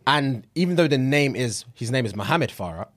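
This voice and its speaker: A man's voice: mid-range voice, lively intonation, a little fast, no room sound. A man with a London British accent, co-hosting a podcast in a casual, slangy conversational way, repeating phrases for emphasis. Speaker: podcast co-host.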